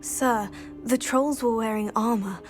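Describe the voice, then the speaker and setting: A woman's voice: on the high side, measured pace, soft background music, lightly acted. A woman playing a polite, eager teenage student of magic in a video game, earnest and respectful. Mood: urgent.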